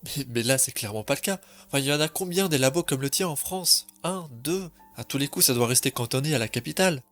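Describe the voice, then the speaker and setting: A man's voice: mid-range voice, animated, fast, a bit bright, soft background music, lightly acted. A man voicing a curious, polite but sceptical tomcat, hesitant and argumentative, in an audio story. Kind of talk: French audio story dialogue.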